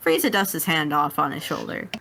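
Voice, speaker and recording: A woman's voice: low-pitched, fairly quick, gravelly, pushed and bright, slight background noise. A woman reading scripted story narration dramatically as part of a group roleplay reading.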